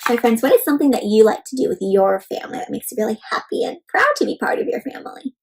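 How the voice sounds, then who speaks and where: A woman hosting an interactive read-aloud for children, speaking in an animated, child-directed way with questions and exclamations. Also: on the high side, fast talker, creaky, husky, poor audio. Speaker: host reading a picture book aloud to children.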